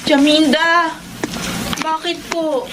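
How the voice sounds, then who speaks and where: A woman's voice: sits high, little pitch movement, slow, very noisy. A woman acting an aggrieved, tearful younger sister in a Filipino radio drama, plaintive and protesting.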